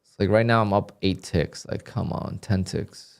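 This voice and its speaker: A man with a very low voice talks his viewers through a live trading session. His delivery is flat and dry, and it sounds a touch irritated even when he says he is pleased. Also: normal speed, creaky, clear, resonant tone. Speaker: day trader livestreamer. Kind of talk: live stream commentary, reading donations.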